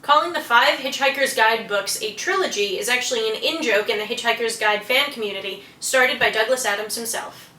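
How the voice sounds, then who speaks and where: Female co-host, slightly high bright voice, scripted explainer delivery, echoey room. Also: brisk. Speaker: co-host of a geek culture explainer show. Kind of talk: scripted presenting. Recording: echoey room with faint noise.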